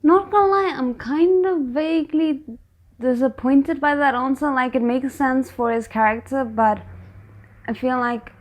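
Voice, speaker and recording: Casting: female reaction host, somewhat high-pitched, informal, musing and hesitant as she thinks aloud. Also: a little slow. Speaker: reaction host commenting on a drama episode. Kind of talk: casual reaction commentary.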